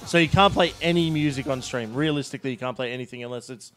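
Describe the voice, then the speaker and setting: A young man who streams, telling stories on a couch in an animated way and laughing often. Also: medium pitch, fast talker, soft background music. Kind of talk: casual group chat. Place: living room couch with others.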